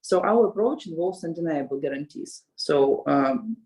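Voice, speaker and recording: A woman's voice: low-pitched, animated, moderate pace, dark tone, poor audio. A woman with a Russian accent, a language school co-founder answering interview questions in a hesitant way, with frequent fillers and light laughs. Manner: hesitant, many fillers and restarts, laughs often.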